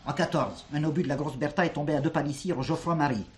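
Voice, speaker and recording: A man playing an earnest, excitable music-hall director in a French stage comedy, with a declamatory manner. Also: a little high, quick pace, slight background noise, natural voice. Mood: grandiose pride.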